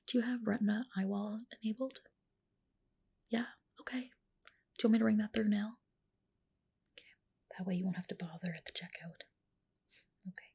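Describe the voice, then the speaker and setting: A woman with a soft, breathy, whispering voice doing a gentle, reassuring ASMR roleplay as a spa practitioner, close to the microphone. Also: mid-range voice. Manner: gentle, reassuring client-care talk. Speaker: ASMR creator playing a medical spa practitioner. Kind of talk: ASMR roleplay, personal attention.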